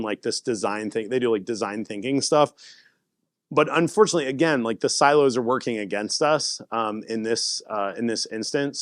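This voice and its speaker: A man giving a casual conference talk and answering audience questions, animated and laughing often. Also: fairly low pitch, no echo, studio quality. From United States. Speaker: presenter.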